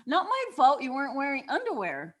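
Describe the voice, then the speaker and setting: A woman's voice: high voice, wildly swooping pitch, brisk. An actress playing a brash, rebellious twenty-one-year-old woman in a table read, flippant and defiant.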